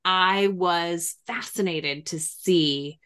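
A woman's voice: lowish voice, extremely slow, a bit bright, clean tone. A woman hosting an interview in a casual, chatty, digressive way. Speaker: interviewer, podcast host.

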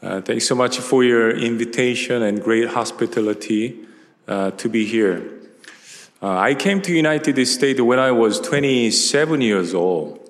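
A man in his fifties from South Korea with a Korean accent, preaching a relaxed, conversational sermon with many filler words. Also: medium pitch, a little slow. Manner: relaxed, conversational, frequent uh and you know fillers. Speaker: pastor. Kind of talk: sermon with personal storytelling. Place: large church sanctuary at a pulpit. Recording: long hall reverb.

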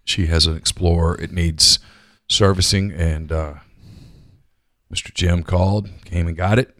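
Deep-voiced man co-hosting. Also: fairly even intonation, a little slow, a bit bright, no room sound, clean studio sound. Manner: chatty, rambling. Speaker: podcast co-host. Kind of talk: casual sports talk and live ad reads. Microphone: studio microphone.

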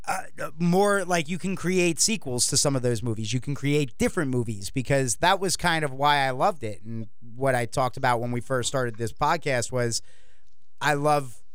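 A man hosting a podcast in casual chat, rambling and animated, with many restarts. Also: medium pitch, moderate pace, smooth, no room sound, studio quality. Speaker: podcast host.

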